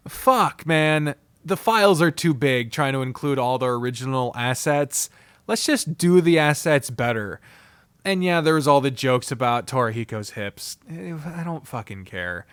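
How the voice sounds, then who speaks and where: A man giving an unscripted, casual review, blunt, profane and opinionated, often halting, digressing and trailing off mid-sentence. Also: medium pitch, fairly bright tone, smooth, no echo. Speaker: visual novel reviewer.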